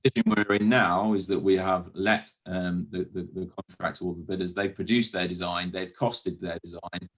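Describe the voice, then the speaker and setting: A British man speaking as a council officer in a formal meeting, explaining matters in a measured, careful way with some hesitant phrasing. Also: low-pitched, steady tone. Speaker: council officer presenting to a committee.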